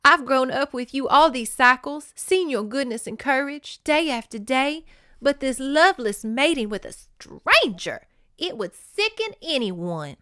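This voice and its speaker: A loyal young lady's maid and best friend as a woman puts her on, pitched up and smooth. She is protective and imploring, speaking in emphatic, formal lines from a romance storybook. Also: lively intonation, measured pace, pushed and bright, no echo, clean studio sound, exaggerated voice. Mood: protective, pleading. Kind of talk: dramatic romance novel reading.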